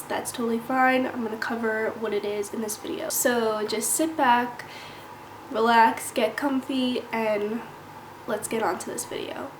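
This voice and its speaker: A woman vlogger sitting down to chat to camera, a little nasal from a cold, earnest and explaining things step by step. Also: a little high. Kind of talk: casual sit-down talk to camera. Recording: echoey room with faint hiss.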